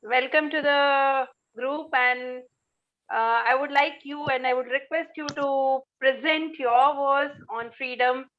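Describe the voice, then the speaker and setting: A woman's voice: high voice, fairly even intonation, slow. A woman with an Indian accent formally hosting an online gathering, polite and hesitant as she introduces participants on a lo-fi call. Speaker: host of an online poetry gathering. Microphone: video call.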